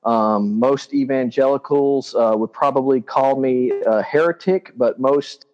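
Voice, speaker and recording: A man's voice: mid-range voice, measured pace, dull audio. A man from Texas, a podcast guest, talking casually and hesitantly with many ums. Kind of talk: casual chat.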